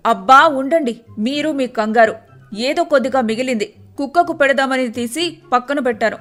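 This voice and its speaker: A woman's voice: sits high, moderate pace, a bit bright, soft background music. A woman voicing a timid, put-upon wife in a story, with a wary, complaining manner. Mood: hesitant, puzzled.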